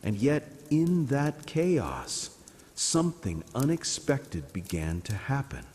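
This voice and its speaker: A man narrating a scripted bedtime story in a calm, soothing, dramatic way. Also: mid-range voice, takes it slowly, big-room sound.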